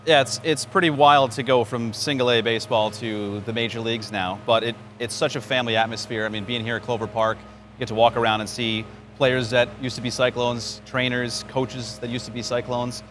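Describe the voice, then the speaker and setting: A man's voice: mid-range voice, fairly even intonation, brisk, fairly bright tone. A man from New York, a radio sports announcer, answering interview questions in a conversational storytelling way. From New York, United States. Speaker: baseball radio play-by-play announcer. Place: outdoors at a spring training ballpark. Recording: clear background noise, outdoor ambience.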